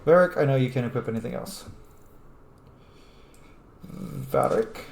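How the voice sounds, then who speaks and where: A man's voice: mid-range voice, wildly swooping pitch, a little slow, vocal fry, darkish tone. Informal man doing gameplay commentary, echoey room. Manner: informal, sweary, muttering to himself. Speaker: gamer hosting a playthrough. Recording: echoey room, faint noise.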